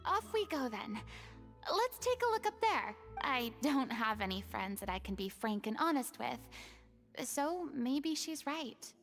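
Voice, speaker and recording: A woman's voice: high-pitched, sing-song, measured pace, soft background music, exaggerated voice. Wistful, remorseful young woman. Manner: soft, wistful, often half-whispered. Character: remorseful young woman, former goddess pretender.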